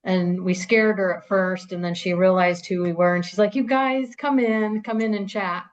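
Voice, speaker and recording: A woman's voice: medium pitch, fairly even intonation, moderate pace. A woman in her fifties, a podcast guest, casually telling old school stories with frequent laughter. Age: 50s. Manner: chatty, laughs often, storytelling. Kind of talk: casual reminiscing chat. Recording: dull lo-fi sound.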